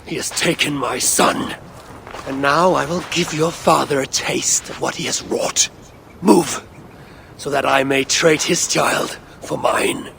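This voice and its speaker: A proud Norse god for a video game cutscene. The voice is gravelly and a little high for a man. He speaks with measured, wry command, over faint background noise. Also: steady tone, sharp tone, heavily acted. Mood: menacing. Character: proud, dryly witty Norse god warrior, male.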